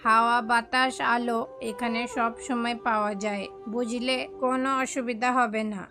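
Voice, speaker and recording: A woman voicing a calm, wise male hermit crow who preaches in a cartoon moral story. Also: a little high, moderate pace, music in the background, lightly acted. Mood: generous, calm.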